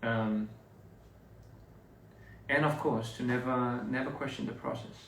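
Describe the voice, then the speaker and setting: A man's voice: mid-range voice, steady tone, unhurried, creaky, fairly bright tone, distant mic, slight background noise. A young man, chatting loosely with his viewers on a live stream and reading their comments aloud. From Australia. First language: English. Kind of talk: casual live stream chat. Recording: echoey room, a bit off-mic.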